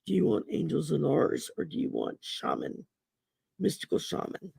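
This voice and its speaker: A woman with a gravelly voice from damaged vocal cords. She hosts a casual livestream, chatting and reading oracle cards in a folksy way with many ums. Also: low-pitched, huge pitch swings, moderate pace, a little mellow, dry recording. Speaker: host and oracle card reader. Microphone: computer mic on a livestream.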